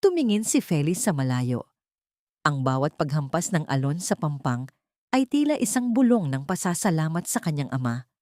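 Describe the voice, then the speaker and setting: A Filipino woman narrates a scripted drama in a fairly low voice. Her delivery is measured, sentimental and literary, and the sound is very dry, with no room echo. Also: very animated, mellow. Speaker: story narrator.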